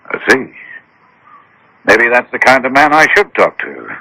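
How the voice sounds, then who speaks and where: A man's voice: mid-range voice, very animated, unhurried, vocal fry, sharp tone, husky, dry recording, heavily acted, poor audio. An actor playing a wealthy, anxious, defensive man obsessed with the occult, insistent and dramatic, in radio drama style. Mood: skeptical. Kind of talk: 1980s radio drama acting. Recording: old radio drama broadcast.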